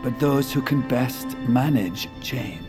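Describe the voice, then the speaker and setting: Actor as a grandiose, slow-talking visionary man. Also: mid-range voice, lightly acted. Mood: grand, welcoming. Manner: measured, showman-like, suave. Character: grandiose, courteous visionary host of a doomsday bunker. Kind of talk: audio drama acting. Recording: music behind.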